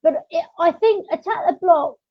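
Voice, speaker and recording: Young girl podcaster, really high voice, chatty, casual, bit muffled lofi sound. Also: normal speed. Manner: chatty, frequently agreeing. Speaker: podcast host. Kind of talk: casual chat. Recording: dull, lo-fi audio.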